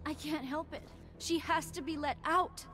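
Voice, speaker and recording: A woman's voice: high voice, steady tone, normal speed, lightly acted. A woman playing a troubled, pleading young woman in a fighting game, in a game clip with music. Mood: helpless, pleading.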